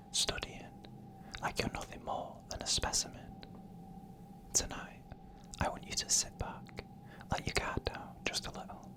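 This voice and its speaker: An ASMR narrator telling scary stories in a breathy, unvoiced whisper, with a hushed and suspenseful delivery. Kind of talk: whispered ASMR horror storytelling, scripted narration.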